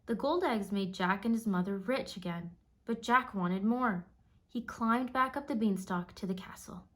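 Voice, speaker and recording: A woman narrating a children's storybook aloud in a measured, expressive storytelling manner. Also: medium pitch.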